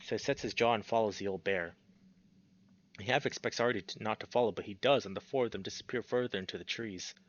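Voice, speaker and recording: A man's voice: fairly low pitch, quick pace. Man playing and narrating a game, slightly muffled. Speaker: streamer reading a visual novel aloud. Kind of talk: casual let's-play commentary and narration.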